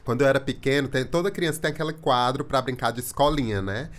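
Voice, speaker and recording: A man's voice: mid-range voice, quick pace, a bit bright. Talkative man with a strong Maranhão accent. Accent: Maranhão Brazilian Portuguese. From Imperatriz, Maranhão, Brazil. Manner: talkative, storytelling. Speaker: podcast guest. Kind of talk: casual interview chat.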